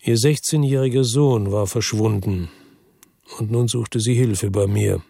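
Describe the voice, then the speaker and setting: A man's voice: lowish voice, a little slow, creaky, dark tone, slightly rough, dry recording, clean studio sound, heavily acted. An actor playing a world-weary former policeman from Marseille, narrating his case soberly and laconically in a radio drama. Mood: weary, reflective. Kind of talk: first-person radio drama narration and dialogue.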